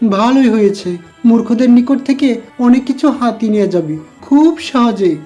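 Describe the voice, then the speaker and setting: Dark-toned, smug cartoon villain voiced by a woman. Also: fairly high pitch, lively intonation, normal speed, soft background music, natural voice. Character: sly corpse-stealing villain, smug, praising a disciple.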